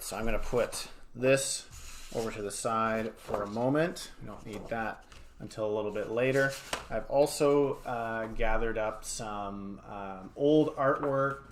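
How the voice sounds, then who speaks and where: A man giving a casual, step-by-step craft tutorial as an art educator, rambling a little with informal asides. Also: on the low side. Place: home studio. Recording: clear background noise, small clicks.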